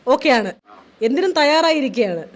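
A woman's voice: high-pitched, expressive, a little fast. A woman making a playful prank phone call. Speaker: prank caller.

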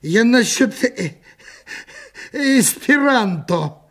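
Man performing a tipsy official, pompous, high voice, slurred halting words, satirical audiobook. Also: lively intonation, a bit bright, heavily acted. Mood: tipsy, solemn. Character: swaying drunk pompous male board official.

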